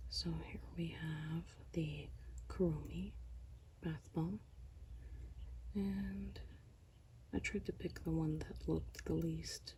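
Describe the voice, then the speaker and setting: A soft-spoken ASMR host, whispering slowly through a product haul with a tired, strained voice. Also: medium pitch, creaky, background noise. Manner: mostly whispered, slow and hushed.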